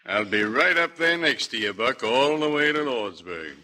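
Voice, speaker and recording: An actor playing a tough, plain-spoken frontier sheriff with rustic speech in an old radio western. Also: male voice, medium pitch, lively intonation, moderate pace, close to an everyday voice. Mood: resolute. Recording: noisy vintage radio recording.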